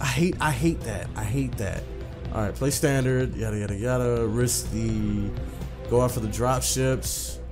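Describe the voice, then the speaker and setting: A man's voice: mid-range voice, measured pace, over music. A man doing a casual let's play, swearing and repeating himself in slang as he comments and reads game text aloud. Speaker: gaming video host.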